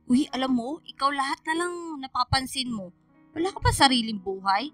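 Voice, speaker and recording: Woman playing a pouty teenage girl, high sharp voice, Filipino accent, argumentative, radio drama, faint music. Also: animated, a little fast. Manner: whiny, argumentative. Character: headstrong, pouty teenage daughter, fifteen to eighteen. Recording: music bed underneath.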